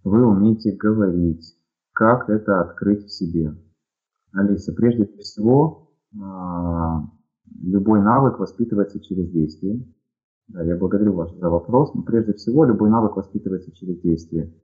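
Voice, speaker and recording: A man from Ukraine answers listener questions on a live radio broadcast. His voice sits very low and comes through a narrow, phone-like line. He talks in a measured way, pausing often with fillers. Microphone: headphones with headset audio.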